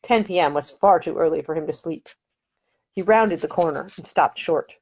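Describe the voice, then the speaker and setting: A woman's voice: low voice, moderate pace, a little mellow, husky. A woman reading her own historical novel aloud as scripted audiobook narration.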